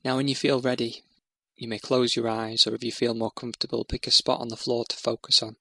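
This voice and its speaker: A man guides a meditation in a measured, soothing manner. His pitch stays very level, and there is a noticeable creak in the voice. The sound is close and very dry, as he gives gentle step-by-step instruction. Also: little pitch movement, no echo.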